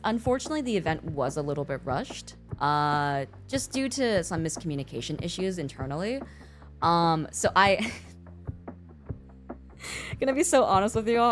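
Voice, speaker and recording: A young woman with an American accent and Mandarin as her first language, chatting casually as a livestreamer in a giggly, talkative way. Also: lowish voice. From born in China, raised in the US from about age six. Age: young adult. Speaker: streamer.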